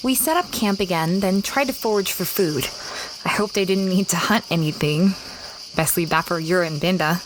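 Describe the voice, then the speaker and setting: A woman's voice: on the low side, moderate pace, background noise, lightly acted. A woman playing an adventurous young explorer who tells her story expressively in a first-person audio drama. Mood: wry, reflective. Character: adventurous young woman explorer recounting a strange expedition, fretful over her dog. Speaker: narrator and lead character. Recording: clear background ambience of jungle insects.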